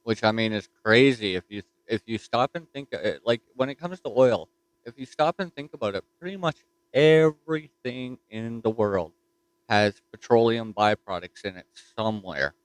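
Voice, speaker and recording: Man, newfoundland accent, ham radio chat, smooth clear voice, dry close sound, folksy. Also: medium pitch, moderate pace, no room sound, low-quality recording. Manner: chatty, folksy, restarts and filler words. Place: home radio shack. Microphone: desktop mic.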